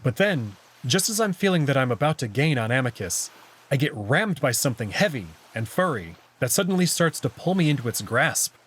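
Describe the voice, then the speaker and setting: A man with a husky voice narrating a first-person visual novel story expressively. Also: mid-range voice, very animated, brisk, fairly bright tone.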